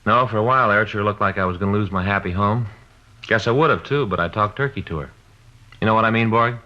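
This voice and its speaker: A man's voice: on the low side, fast talker, close to an everyday voice. An actor in a 1950s radio drama playing a chatty, cocksure middle-aged American detective, folksy and insistent with his advice. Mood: chatty, confiding. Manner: folksy, insistent, know-it-all. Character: cocksure, chatty married male police detective giving advice. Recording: dull, band-limited old radio recording.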